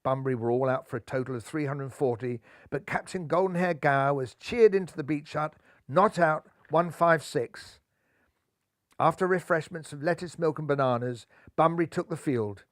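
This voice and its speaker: An older man in his sixties narrates a children's book with lively, theatrical delivery. His voice sits fairly high for a man. Recorded close on a lapel mic in a living room, with a dry sound. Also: normal speed, dry recording. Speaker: storybook narrator. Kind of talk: expressive children's story reading. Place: living room, seated in armchair.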